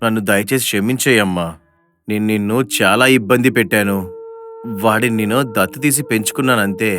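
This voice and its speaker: A man playing an older wealthy father with a dramatic, emotional delivery in an animated story. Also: lowish voice, brisk, faint music behind, close to an everyday voice. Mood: remorseful, tearful. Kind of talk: animated moral story dub.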